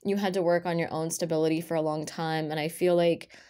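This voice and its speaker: Raspy-voiced woman reading tarot. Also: low-pitched, level tone, a little fast, dark tone. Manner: murmurs card names half-whispered while laying cards. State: recovering from a cough. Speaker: tarot reader. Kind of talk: casual tarot reading to camera. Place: indoors at a table with a purple cloth. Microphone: camera over her hands, speaker off-frame.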